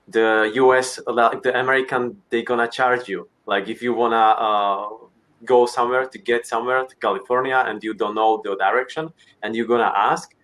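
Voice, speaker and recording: A man with a Slovak accent and a mid-pitched voice practices conversational English. He speaks haltingly, restarting and searching for the right word as he goes. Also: a bit bright. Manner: hesitant, halting, searching for words. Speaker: English learner. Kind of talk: casual lesson conversation.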